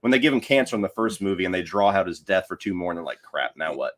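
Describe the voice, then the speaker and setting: Very deep-voiced man discussing films. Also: sing-song, quick pace, a bit bright. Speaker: podcast guest, film commentator. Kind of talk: casual film discussion.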